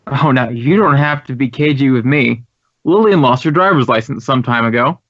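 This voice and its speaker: An American actor playing an earnest, courteous and talkative director of a drama school in an old radio drama. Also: male voice, mid-range voice, normal speed, fairly bright tone, dry recording, lightly acted. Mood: puzzled, chatty. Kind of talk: 1950s radio acting. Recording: dull, dry old radio recording.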